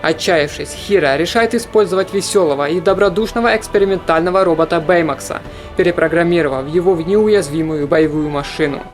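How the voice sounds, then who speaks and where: Guy doing a top-ten film voice-over, even and informative, soft music under it. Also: fairly high pitch, a little fast, hardly any pauses. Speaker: film review narrator. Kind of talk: scripted voice-over narration. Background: soft background music.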